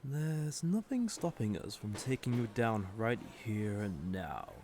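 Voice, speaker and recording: An actor playing a haughty, sardonic villain commander in a roleplay audio drama, condescending and drawling, with mock-sweet menace. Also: male voice, fairly low pitch, sing-song, a little slow, a little mellow, slight background noise. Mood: mocking, menacing.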